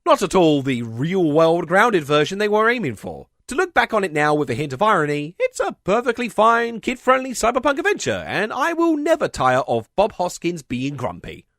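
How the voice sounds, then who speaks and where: A man hosting a video essay, delivering scripted comedic narration in a wry way with deadpan asides. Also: high-pitched, normal speed, few pauses, no echo, clean studio sound. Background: music under the voice.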